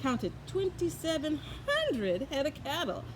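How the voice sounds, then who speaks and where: A woman's voice: high voice, a little slow, noisy background. Woman reading a children's tall tale aloud. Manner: expressive reading with folksy asides. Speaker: storyteller. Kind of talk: children's story read-aloud.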